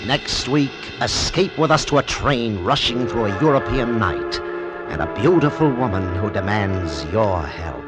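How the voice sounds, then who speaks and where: A man's voice: on the low side, expressive, unhurried. A man reading a dramatic scripted promo as an announcer on a mid-century radio broadcast. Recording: vintage radio broadcast over music.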